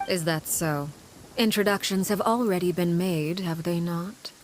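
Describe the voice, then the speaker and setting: A woman voicing a cold, composed female leader in a video game, measured and formal with a quiet, ironic half-whisper. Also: fairly low pitch, steady tone, noisy background, natural voice. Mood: cool. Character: cold, composed woman leading an orphanage of agents.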